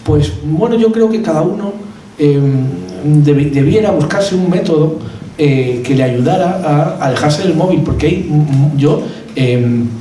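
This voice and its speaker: The Castilian man you would hear from the back row of a book presentation, a mellow-voiced author wandering haltingly through anecdotes, a little far from the microphone. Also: on the high side, animated. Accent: Castilian Spanish. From Madrid, Spain. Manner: halting, rambling, hesitant with repeated words. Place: event hall at a cultural centre. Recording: roomy echo, distant mic, background noise.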